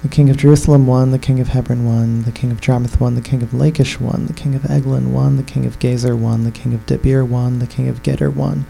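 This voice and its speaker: A man reading scripture aloud in a steady, monotone listing voice. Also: mid-range voice, brisk, creaky, hardly any pauses, a little hiss or hum, rough recording.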